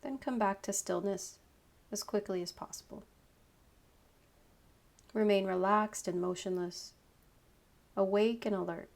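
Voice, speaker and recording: A woman leading a guided meditation as a yoga nidra teacher, giving calm, unhurried instructions in short phrases with pauses. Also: mid-range voice, gravelly.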